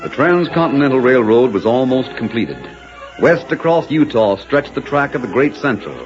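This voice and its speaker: A man's voice: mid-range voice, animated, moderate pace. A man with an American accent delivering scripted, dramatic narration for a 1940s radio drama. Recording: muffled old radio, loud music behind.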